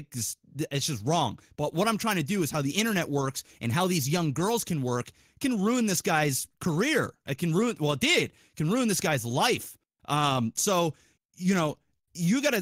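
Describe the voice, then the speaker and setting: A man talking as a podcast host, giving a casual, opinionated monologue in an animated, rambling, profanity-heavy and argumentative way. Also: high voice, no room sound, studio quality.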